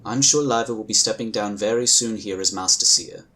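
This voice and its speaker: A man playing a calm, diplomatic male inquisitor who talks in a measured, courteous way. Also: lowish voice. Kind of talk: fan audio drama acting.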